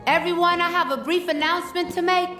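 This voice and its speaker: Bright, very high-pitched solemn cartoon boy. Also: fairly even intonation, fast talker. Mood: solemn, declarative. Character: earnest, defiant young boy making a solemn announcement. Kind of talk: animated series speech. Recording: echoey, distant speech with faint music.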